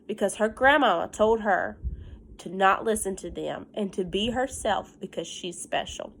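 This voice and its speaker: A woman teacher reading a picture book aloud to young children, with simple, encouraging asides and stressed, drawn-out storytelling phrases. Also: mid-range voice, vocal fry, a little hiss or hum.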